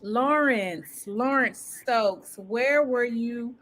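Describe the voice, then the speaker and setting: A woman from Ohio who hosts a casual interview show and talks in an upbeat, lively, enthusiastic way. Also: on the high side, very slow. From Cincinnati, Ohio, USA. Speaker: show host.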